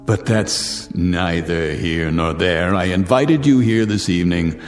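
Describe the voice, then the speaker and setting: A man's voice: deep voice, measured pace. An actor playing a vengeful rich man who taunts his former teacher, with a sneering, theatrical manner, in a vintage television drama. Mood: taunting. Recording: vintage recording with long reverb and music.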